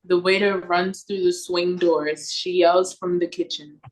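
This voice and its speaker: Lower-pitched woman narrating a script. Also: fairly even intonation, measured pace, low-quality recording. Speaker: narrator reading stage directions. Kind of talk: scripted narration, read-through. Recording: video call recording.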